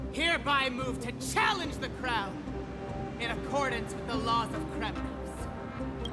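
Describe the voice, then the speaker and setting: Fierce high-voiced warrior queen. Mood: furious, challenging. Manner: commanding, theatrical, formal oratory. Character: proud, fierce warrior queen and grieving mother. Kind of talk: dramatic video game voice acting. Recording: loud music under the voice.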